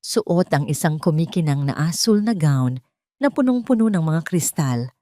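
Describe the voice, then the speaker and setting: Low-pitched woman narrating a drama. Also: lively intonation, normal speed, dark tone, no echo, clean studio sound. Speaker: story narrator. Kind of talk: dramatic first-person scripted narration.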